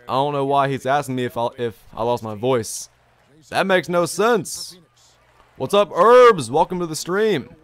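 A young man live-streaming a basketball video game, talking upbeat and repeating himself as he reads his chat, his voice a little strained from long streaming. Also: on the high side. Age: young adult. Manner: upbeat, chatty, repeats his own phrases. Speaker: gaming streamer. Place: bedroom gaming setup, indoors.

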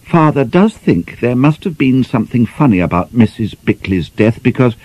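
A man's voice: medium pitch, sing-song, moderate pace, a little mellow, no echo, voice pushed up. A man voicing a mischievous nineteen-year-old young woman who needles her friend with gleeful mockery. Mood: teasing.

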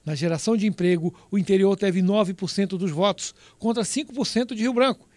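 A male TV news reporter reading a survey report in a measured voice-over, with a Brazilian Portuguese accent. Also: high voice, a little mellow, no room sound. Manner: measured, reads out figures crisply.

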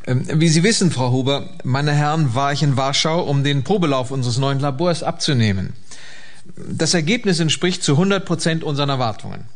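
A man's voice: on the high side, fairly quick, natural voice. An actor playing a confident fixer reporting to his boss in a radio play, assured and matter-of-fact. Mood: confident, formal. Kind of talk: German radio play acting.

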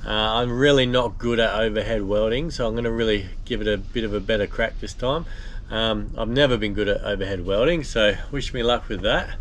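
A man, hosting a boat restoration vlog in a relaxed, chatty way full of ums and uhs. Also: lowish voice, a little fast, a little hiss or hum. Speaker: boat restorer and channel host. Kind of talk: casual vlog narration. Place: inside a steel boat hull, then an outdoor patio.

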